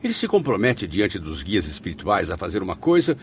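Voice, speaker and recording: A man's voice: low-pitched, big pitch swings, brisk, slight background noise. A Brazilian man playing a troubled spirit apprentice who recounts events in a radio drama, with solemn, dramatic, literary delivery. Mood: disapproving. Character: male spirit apprentice recounting his past, troubled and judgmental. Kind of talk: radio drama narration and acting.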